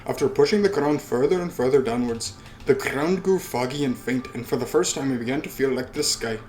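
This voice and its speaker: Man, mid-pitched, reading a game aloud, casual commentary, swears in asides. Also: brisk, a little mellow, poor audio. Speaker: let's play host.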